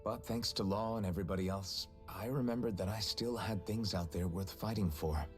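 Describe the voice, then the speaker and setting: An actor voicing a calm, caring young male swordsman hero in a video game cutscene, speaking in a steady, reassuring way. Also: lowish voice, fairly quick, faint music behind, natural voice.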